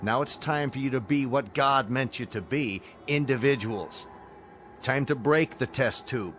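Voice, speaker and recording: An actor playing a firm, rational colonel leading a rescue mission in a radio drama, speaking with measured authority. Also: male voice, medium pitch, fairly bright tone, a little hiss or hum, lightly acted. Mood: firm, challenging.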